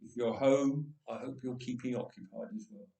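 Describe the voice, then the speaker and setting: A man reading a story aloud expressively, with dramatic pauses, emphasis and drawn-out phrases. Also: mid-range voice, steady tone, vocal fry, warm tone. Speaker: story reader. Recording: echoey room, distant microphone.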